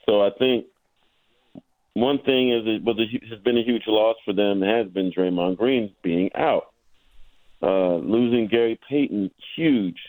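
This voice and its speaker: A man talking basketball casually as a sportswriter guest, haltingly, repeating words and tagging his points with "right?". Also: fairly low pitch, darkish tone, dry recording, low-quality recording.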